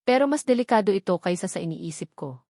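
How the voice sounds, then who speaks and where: A woman narrator puts on a protective lover in an audio love story. He is worried but keeps himself calm and grave. The recording is dry and close. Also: medium pitch, quick pace, no room sound. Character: worried, protective man, policewoman's former lover.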